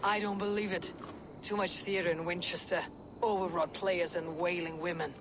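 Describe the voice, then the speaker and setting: A woman's voice: on the low side, fairly even intonation, moderate pace, noisy background, natural voice. An actress playing a fearless, stoic Dane warrior woman, terse and dryly skeptical. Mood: skeptical, blunt. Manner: terse, dry, guarded. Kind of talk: video game character acting.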